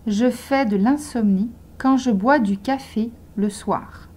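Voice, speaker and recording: A woman slowly reading simple model sentences aloud for beginner language learners, pronouncing each one with care. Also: a little high, warm tone, slightly rough. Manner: slow, carefully articulated dictation. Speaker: language teacher.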